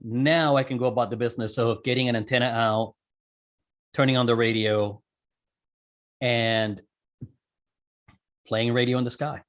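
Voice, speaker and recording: A man's voice: medium pitch, steady tone, normal speed, pauses often. Man casually explaining technical steps. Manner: conversational, step-by-step explaining with self-corrections. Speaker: interview guest, amateur radio operator and skydiver.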